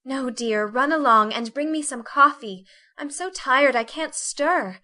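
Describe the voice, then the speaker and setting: A woman voicing a delicate, complaining young lady, speaking softly and plaintively. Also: sits high, expressive, measured pace, voice pushed up, exaggerated voice. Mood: fretful.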